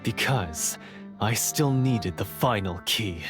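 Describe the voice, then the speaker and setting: An actor playing a bitter, indignant hunter turned betrayer in an anime video game, theatrical and scornful over music. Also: male voice, fairly low pitch, expressive, takes it slowly, fairly bright tone. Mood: grudging. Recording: music clearly behind the voice.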